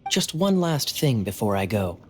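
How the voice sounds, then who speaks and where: A man playing a suave young knight in a video game, talking in a wry, teasing, smooth way. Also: on the low side, big pitch swings, normal speed. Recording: faint background ambience noise.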